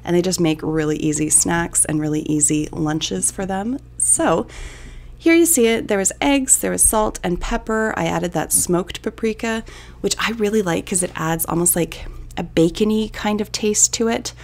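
Low, creaky-voiced woman, chatty cooking vlogger. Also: moderate pace, darkish tone. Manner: chatty, candid, self-deprecating. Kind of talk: casual recipe voice-over.

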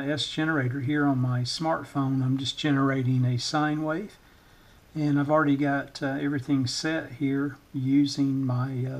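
Man with a flat, halting delivery. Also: medium pitch. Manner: halting, hesitant delivery in short phrases. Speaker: electronics repair channel host, demonstrator. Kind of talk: informal hands-on tutorial demonstration. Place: indoors at a workbench.

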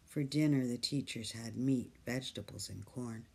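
A storyteller reading a picture book aloud, gentle and soft, sometimes dropping to a whisper. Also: mid-range voice, normal speed, creaky, breathy edge. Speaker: storybook reader.

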